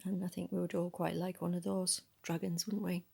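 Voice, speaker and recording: A woman reading a picture book aloud in a soft, breathy voice, adding musing, conversational asides about the illustrations. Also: fairly low pitch, level tone, a little fast. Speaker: storybook reader.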